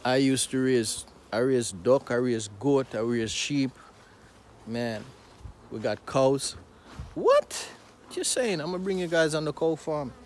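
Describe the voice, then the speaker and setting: A man with a Jamaican accent chatting casually on a phone-filmed vlog at an outdoor beehive yard, animated and laughing. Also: medium pitch, a little slow. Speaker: vlogger. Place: outdoor apiary among hives. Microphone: phone camera handheld. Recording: noisy outdoor phone recording. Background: insects buzzing.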